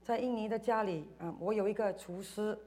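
A woman from Indonesia giving a personal church testimony in short phrases, pausing for an interpreter. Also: medium pitch, warm tone. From Indonesia, schooled in Singapore. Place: church service, speaking to congregation.

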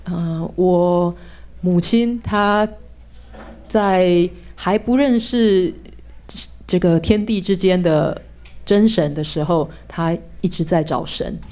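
A young woman from Taiwan, a theology student, giving a church testimony in measured phrases, pausing for her interpreter. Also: fairly low pitch, darkish tone.

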